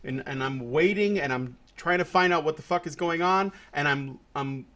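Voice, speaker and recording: A man's voice: on the high side, normal speed, bright, forceful tone. A man hosting a casual podcast, talking loosely with lots of restarts and fillers. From Puerto Rico. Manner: rambling, many restarts and fillers. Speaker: podcast host. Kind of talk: casual chat.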